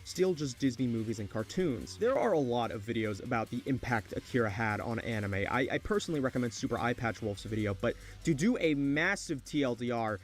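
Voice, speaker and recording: A man's voice: medium pitch, lively intonation, fairly quick, few pauses. A man narrating a scripted video essay as an online essayist. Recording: faint music behind narration. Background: faint music.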